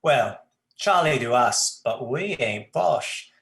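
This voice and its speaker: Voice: mid-range voice, lively intonation, slow pace, vocal fry, fairly bright tone, exaggerated voice. An actor playing a crude, jokey male London street urchin in a stage play reading, slangy and smirking.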